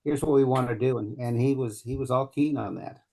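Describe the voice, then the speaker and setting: A man's voice: medium pitch, brisk, vocal fry, a crowd in the background. A man organizing a local meetup, talking easygoing and a little hesitant in a casual group video call. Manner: easygoing, hesitant, laughs lightly.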